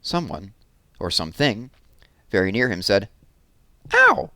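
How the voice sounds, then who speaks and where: A man with a fairly low voice reads a children's story aloud, his pitch rising and falling a lot. He tells it gently and expressively, and the recording is dry and close. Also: lively intonation, normal speed, dry recording.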